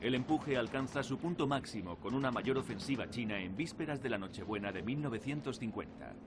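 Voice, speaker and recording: A man's voice: mid-range voice, lively intonation, brisk. A man reading scripted narration for a documentary. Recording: clearly noisy documentary soundtrack. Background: clear background noise.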